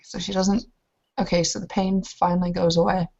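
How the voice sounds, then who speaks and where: A woman narrating her character in an improvised roleplaying game, speaking in a hesitant, halting way on a muffled recording. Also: lowish voice, flat delivery, mellow. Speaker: tabletop roleplaying player.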